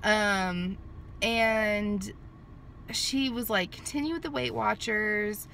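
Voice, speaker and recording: A woman's voice: medium pitch, takes it slowly, gravelly, fairly bright tone, slight background noise. Chatty woman vlogging from her car. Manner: chatty and rambling, with many fillers and restarts. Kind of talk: casual chat to camera. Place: parked car in a sunny lot. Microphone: handheld phone at arm's length.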